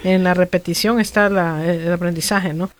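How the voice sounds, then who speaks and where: A radio co-host talking with the priest in a chatty, playful way, with halting restarts. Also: mid-range voice, fairly even intonation.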